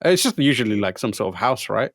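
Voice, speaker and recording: A man's voice: medium pitch, sing-song, fast talker, no room sound, clean studio sound. A man co-hosting a podcast in casual group chat, swearing freely and adding wry asides. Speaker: podcast co-host.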